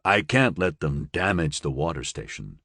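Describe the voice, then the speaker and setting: A man voicing a male AI supervisor with a measured, caustic tone. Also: very deep voice, big pitch swings, sharp tone, no room sound, voice pushed down, full character voice. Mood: dry. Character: male AI supervisor, dry and sardonic.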